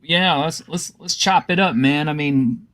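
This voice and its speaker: A man's voice: fairly high pitch, a little slow, a bit bright. A man hosting a livestream panel, casual and joking, swearing freely. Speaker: host.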